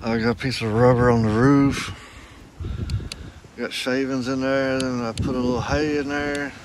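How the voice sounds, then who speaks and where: A man's voice: mid-range voice, flat delivery, normal speed. A man giving a rambling, folksy walkaround of his farm, recorded outdoors on a handheld phone. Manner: rambling, folksy, thinking aloud with many fillers. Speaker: farm owner vlogger. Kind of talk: casual walkaround vlog. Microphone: phone held while walking. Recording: wind noise on phone mic outdoors. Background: wind and outdoor ambience.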